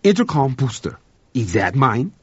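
A man voicing an indignant French head cook on a spaceship, with a French accent and a haughty, excitable manner. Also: medium pitch, a little slow, breathy edge, no echo, highs cut off, pitched above the performer's own voice. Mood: exasperated.

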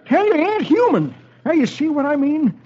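Shrill, frantic Western wagon driver. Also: male voice, normal speed. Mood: agitated. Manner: folksy Western talk, excitable. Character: frantic, hollering old-West ore wagon driver. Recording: dull, noisy old radio recording.

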